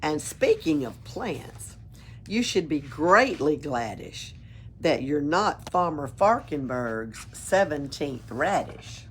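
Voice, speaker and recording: A woman reading a children's storybook aloud in an expressive, playful way, adding her own asides. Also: deep voice, takes it slowly, a little hiss or hum. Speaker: story reader at a library. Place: library.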